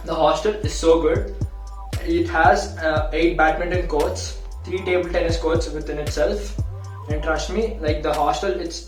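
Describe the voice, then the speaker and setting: A young adult man with a South Indian accent, a college student chatting casually and emphatically about campus life. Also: a little high. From Hyderabad, India. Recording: echoey room, distant mic, music behind.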